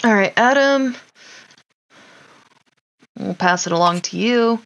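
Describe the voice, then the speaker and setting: A woman's voice: fairly high pitch, slow pace, gravelly, fairly bright tone, slight background noise. A woman casually commenting on her life-simulation gameplay, chatting to her sims and laughing often.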